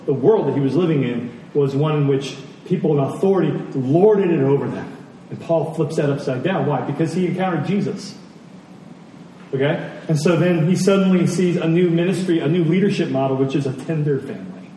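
Guy preaching in a big echoey hall, soft mellow tone, kinda earnest, bit of background noise. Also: fairly high pitch, brisk. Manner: earnest, rhetorical questions, repeats phrases for emphasis. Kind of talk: sermon, conversational teaching with scripture readings. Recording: very echoey hall, distant mic, faint noise.

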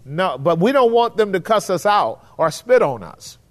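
A man's voice: high-pitched, sing-song, moderate pace. A man leading a church Bible study, teaching in an earnest, emphatic preacherly way.

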